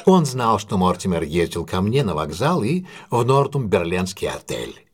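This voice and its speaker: An actor playing a shrewd detective in an audio drama, recounting a solved case in a measured, deliberate, explanatory way. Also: male voice, low voice, very animated, slightly rough, exaggerated voice. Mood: analytical, emphatic. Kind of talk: dramatised storytelling monologue in an audio play.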